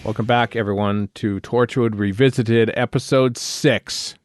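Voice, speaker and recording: A man's voice: mid-range voice, steady tone, measured pace, noisy background. A man hosting a podcast, chatting casually over an episode in a jokey, rambling way. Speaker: podcast host. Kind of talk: casual episode commentary chat.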